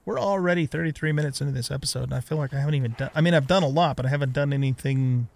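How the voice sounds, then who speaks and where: A man's voice: mid-range voice, racing, vocal fry, a little mellow. A middle-aged man giving casual let's play commentary on a video game, thinking aloud and muttering to himself.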